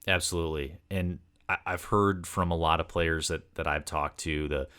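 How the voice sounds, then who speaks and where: A man's voice: deep voice, steady tone, moderate pace, bright, forceful tone, smooth. A man interviewing as a sports podcast host, conversational, with wordy questions that meander through several parts.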